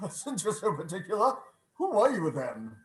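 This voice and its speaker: Give this voice to a crotchety old miser played by a man in a radio play. He is curt, blustering and skeptical, speaking slowly with big swings of pitch, in a roomy video-call recording. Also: wildly swooping pitch, a bit bright, heavily acted. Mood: wary, testy.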